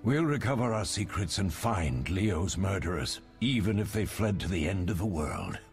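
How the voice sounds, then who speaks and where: An actor playing a wise, fatherly older mentor of monster hunters in a video game, measured and instructive. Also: sits low, big pitch swings, soft background music. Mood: reflective.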